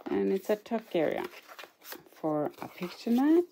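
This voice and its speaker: Slow, mellow Norwegian woman showing crafts. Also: medium pitch, big pitch swings, vocal fry, a little hiss or hum. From Norway. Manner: chatty, hesitant, apologetic, giggles now and then. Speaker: craft channel host. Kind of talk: casual show-and-tell of a scrapbook album. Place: indoors at a craft table.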